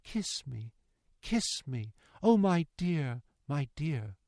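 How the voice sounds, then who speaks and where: Man voicing a pleading, devoted young daughter, higher-pitched. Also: very animated, takes it slowly, mellow, dry recording, clean studio sound, exaggerated voice. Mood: tender pleading. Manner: impassioned, pleading, repetitive cadences. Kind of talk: dramatic audiobook character reading.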